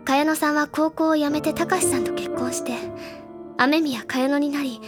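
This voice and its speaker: An actress playing a gentle, bookish teenage schoolgirl in a Japanese audio drama, with soft feminine phrasing. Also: sits high, steady tone, moderate pace, lightly acted. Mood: gentle, wistful. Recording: music behind the voice. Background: clear background music.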